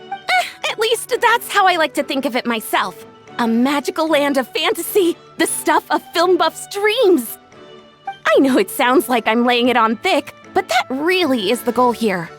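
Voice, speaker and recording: A woman playing an excitable, dreamy and earnest young amusement park showwoman in a video game, effusive and theatrical. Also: high-pitched, unhurried, soft background music. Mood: eager, dreamy.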